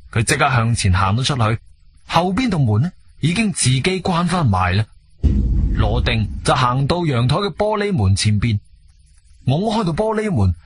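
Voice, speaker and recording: A man's voice: on the high side, breathy edge. A man with a Cantonese accent narrating a radio drama in a colloquial, suspenseful storytelling manner.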